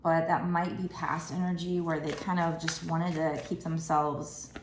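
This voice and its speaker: A woman in her thirties with a raspy, tired voice, giving a casual, rambling tarot card reading at a table indoors. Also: low-pitched, level tone, a little slow, echoey room, background noise. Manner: chatty, rambling, with many fillers. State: raspy from lack of sleep. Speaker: tarot reader.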